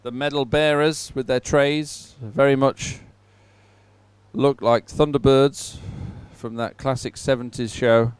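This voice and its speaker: A man giving live sports commentary at a regatta in an informal, halting way with hesitant pauses. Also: mid-range voice, fairly even intonation, smooth, no room sound.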